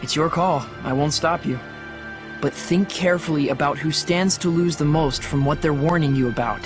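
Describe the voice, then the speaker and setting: A man's voice: a little high, moderate pace, over music, lightly acted. An actor playing a skeptical, wry but loyal young male companion in a video game, speaking articulately. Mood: serious caution. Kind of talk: English video game dub acting.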